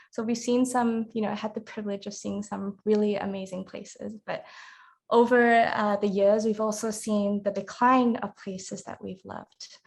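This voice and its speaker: A woman's voice: medium pitch, gravelly. A woman chatting casually as a guest speaker while walking through her slides. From Singapore. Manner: relaxed, chatty, presenting slides. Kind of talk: casual presentation.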